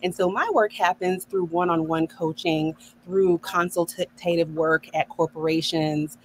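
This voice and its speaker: Lower-voiced woman hosting a podcast. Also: steady tone, unhurried, a little hiss or hum, low-quality recording. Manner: warm, affirming, laughs often. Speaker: podcast host, neuro coach. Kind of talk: casual podcast conversation. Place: home office. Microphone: video call.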